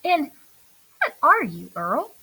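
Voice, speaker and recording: A woman's voice: high voice, wildly swooping pitch, slow pace, bright, forceful tone, pitched above the performer's own voice, exaggerated voice. A woman voicing an earnest, literal-minded furry male pet animal in a children's storybook, speaking in a polite, careful, matter-of-fact manner. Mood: puzzled, reasoning.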